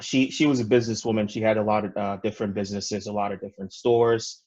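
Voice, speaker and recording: A young man from Ghana, telling his story conversationally as an interview guest, in a halting way with many fillers. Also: fairly low pitch, level tone, a little mellow, muffled recording. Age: young adult. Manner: halting, frequent "you know" fillers. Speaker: podcast guest, real estate investor.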